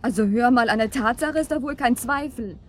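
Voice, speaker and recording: A woman's voice: a little high, a little fast, lightly acted. An actress playing a disillusioned young woman, frank and resigned, in naturalistic radio drama. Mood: frank, pressing. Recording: background noise and ambience.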